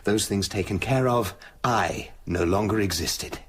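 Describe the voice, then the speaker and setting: An actor playing a tormented British gentleman scientist confessing his dark side in a brooding, literary radio drama narration. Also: lowish voice, unhurried, close to an everyday voice. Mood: confiding, darkly amused.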